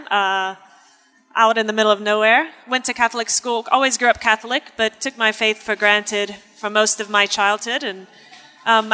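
A woman's voice: medium pitch, pushed and bright. A woman, a religious sister, talking in an easygoing, plain-spoken way on stage. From Louisiana, US. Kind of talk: casual guest talk on stage. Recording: reverberant hall with faint music.

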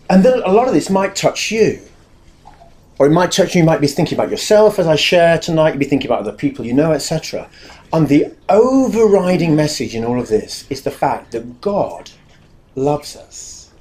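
A man's voice: fairly high pitch, a little mellow. An English man with a big, carrying voice, giving a chatty, self-deprecating talk to a live audience and addressing the room directly.

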